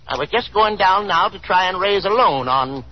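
A man's voice: high-pitched, expressive, normal speed. An actor playing an overwrought middle-aged father in a 1930s radio drama, agitated, stammering and folksy in his speech. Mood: nervous. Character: overwrought aging father, ruined small factory owner, defiant. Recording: muffled 1930s radio broadcast recording.

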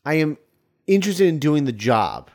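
A man's voice: a little high, big pitch swings, moderate pace, a little mellow. Casual, rambling male podcast co-host. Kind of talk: casual film discussion.

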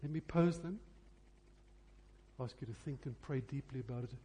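A man preaching a revival sermon earnestly and deliberately, pressing his questions with repeated emphasis. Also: mid-range voice, dark tone. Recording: husky, very reverberant church hall sound.

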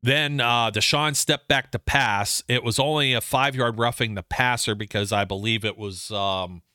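A man's voice: medium pitch, fairly even intonation, moderate pace, pushed and bright, smooth, dry recording, clean studio sound. A man co-hosting a podcast, chatting casually about football and ranting heatedly about the referees. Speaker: podcast co-host. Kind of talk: casual sports chat.

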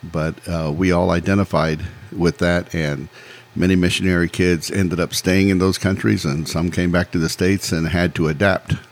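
A man in his sixties, from Peru, recounting his life in a measured, plainspoken way as a podcast guest. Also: deep voice, steady tone. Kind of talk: casual interview storytelling.

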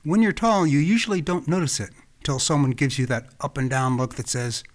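An American man from Los Angeles, hosting his own podcast with calm, reflective scripted narration. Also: medium pitch, fairly quick. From Los Angeles, California. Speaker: podcast host.

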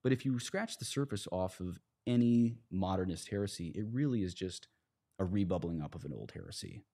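Low-voiced man, emphatic priest in religious discussion. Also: lively intonation, brisk, mellow. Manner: emphatic, argumentative, poses rhetorical questions. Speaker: priest, podcast guest.

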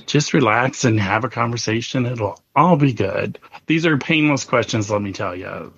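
A man's voice: medium pitch, normal speed, vocal fry, a bit bright, breathy edge. A man hosting a casual podcast interview, chatty and fond of telling little stories. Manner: chatty, storytelling, laughs easily. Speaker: podcast host. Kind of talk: casual chat. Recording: slightly dull, muffled sound.

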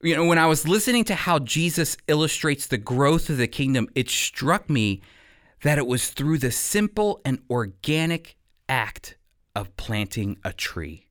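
A man giving an expository Bible teaching as a radio program teacher, speaking emphatically and addressing listeners directly with pauses for emphasis. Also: a little high, big pitch swings, a bit bright.

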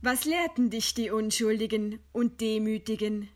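An actress playing a weary, bitterly ironic woman facing execution, with deliberate dramatic delivery in a German radio play. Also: a little high, darkish tone, lightly acted. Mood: ironic, probing.

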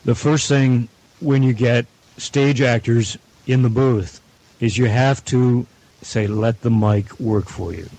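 A middle-aged man giving measured advice as a guest at a roundtable. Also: medium pitch, steady tone, a little mellow, rough recording. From Canada. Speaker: audio producer, guest panelist.

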